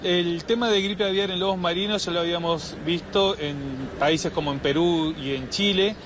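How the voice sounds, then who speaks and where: Higher-voiced man interviewed in outdoor noise. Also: fairly even intonation, fairly quick. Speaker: official interviewee. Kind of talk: explanatory interview statement. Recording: noisy outdoor urban recording.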